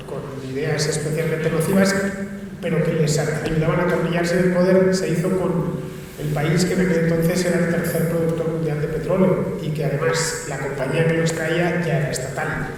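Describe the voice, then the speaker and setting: A man on a panel answering a question in a measured, analytical and assured way. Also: a little high. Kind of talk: round-table discussion. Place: large conference hall. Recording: echoey hall from far off, light hiss.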